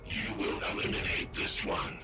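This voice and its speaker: An actor playing a cold, commanding ancient machine intelligence in a video game, speaking in terse pronouncements. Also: male voice, moderate pace, very noisy, natural voice. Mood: imperious. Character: ancient menacing machine intelligence revered as shadow god. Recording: loud music behind the voice.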